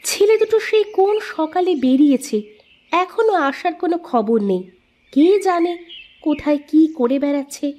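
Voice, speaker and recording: A woman's voice: high voice, expressive, normal speed, dark tone. A woman voicing a worried village mother in a cartoon, fretting over her sons. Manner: fretful, praying aloud. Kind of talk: cartoon voice acting.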